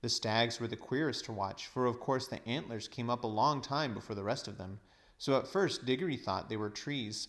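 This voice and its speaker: A man reading a storybook aloud as narrator, with expressive, deliberate phrasing. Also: mid-range voice.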